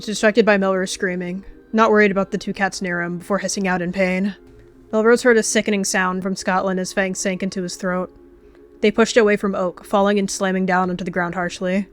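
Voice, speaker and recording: A woman's voice: medium pitch, level tone, a little fast, faint music behind. A woman narrating as a storyteller, reading scripted fiction in a dramatic style. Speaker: storyteller and roleplayer.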